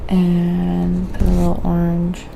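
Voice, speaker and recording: A woman hosting a casual live painting stream, talking quietly to viewers and thinking aloud step by step as she works. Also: on the low side, completely flat delivery, slow, gravelly, slight background noise.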